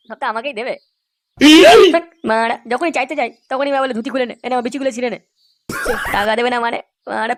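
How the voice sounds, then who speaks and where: A man's voice: extremely high pitch, a bit bright, a little hiss or hum. An actor voicing a boastful young wastrel son, a man in a crude cartoon, with slangy speech. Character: cocky young wastrel son who pilfers money for booze. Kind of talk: crude comedy cartoon dub.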